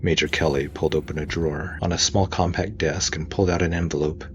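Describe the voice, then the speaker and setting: A man's voice: very deep voice, fairly even intonation, fast talker, muffled recording, slight background noise. A man giving scripted narration as the narrator and host of a fiction podcast.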